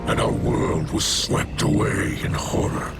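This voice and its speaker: Man voicing a slow, solemn, weary alien leader. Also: close to an everyday voice. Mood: grave, weary. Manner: formal, solemn, measured oratory. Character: weary, noble alien leader, grave and mournful father. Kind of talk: dramatic video game voice acting. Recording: dramatic music under the voice. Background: music.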